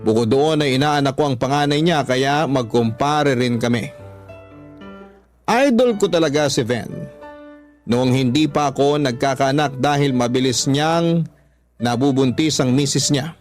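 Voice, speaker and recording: A man's voice: mid-range voice, unhurried. A man narrating his own letter to a radio advice host in earnest, scripted tone. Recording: faint music bed behind voice.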